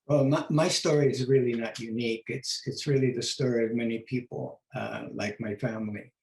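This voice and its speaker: An older man from Mexico, gentle and modest, telling his life story on a video call. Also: mid-range voice, fairly even intonation, brisk, gravelly, roomy sound, poor audio. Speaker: author and guest. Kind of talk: memoir storytelling.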